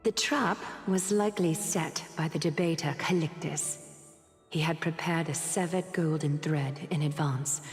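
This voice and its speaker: Dignified, lower-voiced regal woman. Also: long reverb tail, soft background music. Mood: regretful, grave. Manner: formal, measured, solemn. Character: dignified regal female mentor and leader, weary yet composed. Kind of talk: scripted game voice acting, reading a letter.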